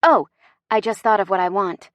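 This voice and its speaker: A woman's voice: mid-range voice, normal speed, no room sound, highs cut off, natural voice. Female narrator as sassy young woman, flustered, defiant banter, romance audiobook dialogue, dry studio sound. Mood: playful.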